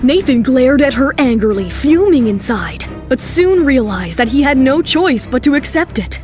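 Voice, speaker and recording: Female narrator for audio drama, high register, dramatic scripted read, light music bed. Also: big pitch swings, moderate pace, darkish tone.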